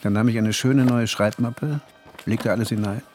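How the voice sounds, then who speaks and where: A man's voice: fairly low pitch, fairly even intonation, darkish tone, soft background music, close to an everyday voice. An actor playing an anxious, introspective married man who tells his own story in a radio drama. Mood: sober, resigned. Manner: introspective, switches from measured narration to agitated pleading. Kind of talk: radio drama narration and dialogue.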